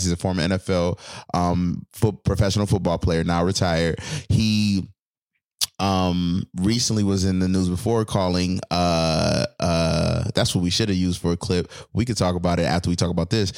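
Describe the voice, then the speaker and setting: A man with a low, deep voice talks loosely on a podcast. He wanders through his points, stacking fillers and false starts as he chats with his co-host. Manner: rambling, loose, full of fillers and restarts. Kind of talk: casual podcast chat.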